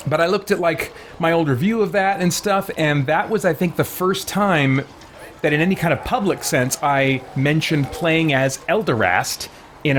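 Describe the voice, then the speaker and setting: A man's voice: a little high, a little hiss or hum. A man hosting a game livestream in casual chat, rambling and thinking aloud as he goes. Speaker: live streamer hosting a game playthrough.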